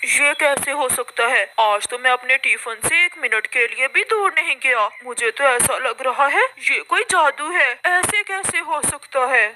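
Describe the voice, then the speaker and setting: A woman's voice: sits high, lively intonation, unhurried, few pauses, sharp tone, slight background noise, full character voice, low-quality recording. A woman doing a puzzled father bird. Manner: bewildered.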